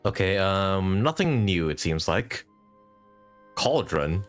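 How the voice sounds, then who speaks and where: Low, lively male game streamer. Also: slow pace, faint music behind. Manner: informal, thinking aloud, repeats short phrases. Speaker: game livestreamer. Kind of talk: casual live gameplay commentary.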